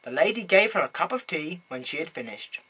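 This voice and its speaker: A man reads a children's story aloud with plenty of dramatic emphasis. His voice is bright and a little rough-edged, and his pitch moves around a great deal as he goes. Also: expressive, brisk. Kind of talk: expressive children's bedtime story reading.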